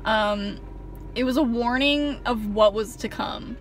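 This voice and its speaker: A young woman with a fairly high voice rambles through a sarcastic, self-mocking story. She sits in a parked car and talks to a camera on the dashboard, full of ums and wry asides. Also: takes it slowly, faint music behind. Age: young adult. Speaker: vlogger. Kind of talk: casual storytime monologue.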